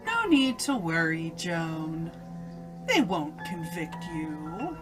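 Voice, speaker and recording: A woman's voice: deep voice, big pitch swings, takes it slowly, music in the background, full character voice. A woman playing an evil, taunting alter ego, a voice inside a condemned girl's head, in old-time radio drama style. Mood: taunting, gloating. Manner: menacing, taunting, gloating.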